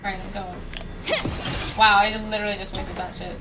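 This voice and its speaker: A young woman chatting casually and playfully as she streams a video game, with expressive reactions. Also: mid-range voice, normal speed, music in the background. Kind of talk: casual livestream gameplay chat. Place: indoor livestream with game music behind.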